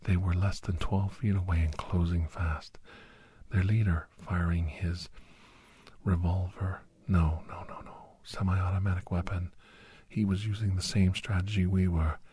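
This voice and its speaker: A man playing a grave, anxious doctor and old soldier telling a battle story in hushed, halting, breathy ASMR narration that often drops into whispers. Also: low voice, no echo, heavily acted. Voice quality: breathy, husky. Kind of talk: ASMR first-person dramatic story narration.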